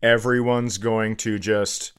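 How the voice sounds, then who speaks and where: A man's voice: fairly low pitch, flat delivery, slow, fairly bright tone, smooth. A man co-hosting a comedy podcast, musing through hypotheticals in casual, self-deprecating chat with some easy swearing.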